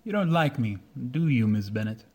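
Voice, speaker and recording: A man playing a proud, reserved young gentleman in a radio drama, speaking formally. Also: medium pitch, sing-song, moderate pace. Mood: earnest. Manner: formal, restrained. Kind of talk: old-fashioned radio drama acting.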